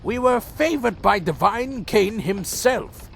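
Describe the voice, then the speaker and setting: A man playing a proud, bitter ancient vampire clan leader in a dark fantasy audio drama, brooding, theatrical and full of contempt. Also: high voice, animated, vocal fry, a little hiss or hum, full character voice. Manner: slow, theatrical, brooding and menacing. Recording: faint music under the voice.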